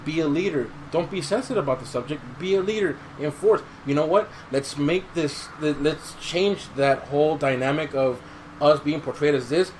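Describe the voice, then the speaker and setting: A man's voice: on the high side, moderate pace, darkish tone, slight background noise, poor audio. A man hosting a podcast in casual chat, swearing freely and giving his opinions in slangy talk. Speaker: podcast host.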